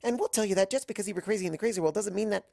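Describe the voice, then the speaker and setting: High, rambling, bubbly young mare, done by a man. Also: rapid-fire, creaky, no echo, studio quality. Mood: chirpy, reassuring. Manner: rambling, excitable. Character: bubbly, scatterbrained, loyal young female pony.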